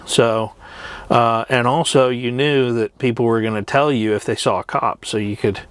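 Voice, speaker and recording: A middle-aged man talking in a casual, rambling vlog style, plain-spoken and hesitant, with trailing pauses. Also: mid-range voice, steady tone, smooth, no room sound. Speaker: truck driver and vlogger. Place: truck cab.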